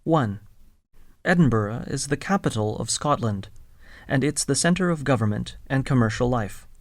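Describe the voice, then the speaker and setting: A man's voice: medium pitch, normal speed, no echo. Man reading a textbook script, dry sound. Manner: even, clearly enunciated.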